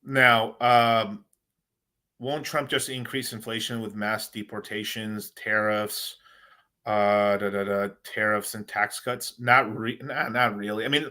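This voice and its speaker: A man's voice: medium pitch, steady tone, moderate pace, sharp tone. A man hosting a casual crypto livestream, rambling in slangy talk and calling his viewers "bro.".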